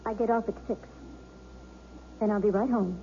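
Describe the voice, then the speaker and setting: An actress playing a patient, loving wife who speaks gently and pleads with her husband. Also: medium pitch, fairly even intonation, normal speed, lightly acted. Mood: pleading. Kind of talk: 1970s radio drama acting. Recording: tinny old radio broadcast with hiss.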